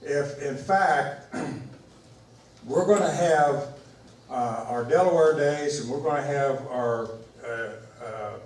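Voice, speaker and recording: Indignant man in an echoey hall. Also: medium pitch. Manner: halting, many fillers and restarts, indignant. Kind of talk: public meeting remarks. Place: large meeting room. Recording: echoey meeting hall from far off.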